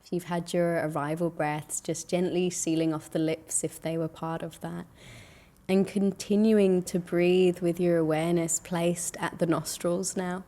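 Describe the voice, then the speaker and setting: A woman leading a guided meditation in a soothing, gentle, unhurried way, leaving long pauses between phrases. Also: lowish voice, flat delivery.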